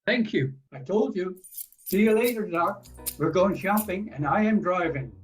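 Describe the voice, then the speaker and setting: A man's voice: sits high, lively intonation, normal speed, breathy edge. An older man acting a stubborn, boastful old husband in an amateur audio play. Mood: smug, boastful. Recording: muffled audio play with music behind.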